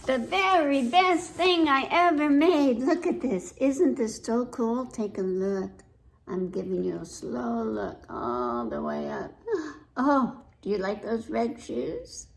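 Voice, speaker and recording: A woman's voice: a little high, big pitch swings, takes it slowly, gravelly, darkish tone. A woman hosting a children's story time, reading aloud and chatting directly to the young listeners with questions.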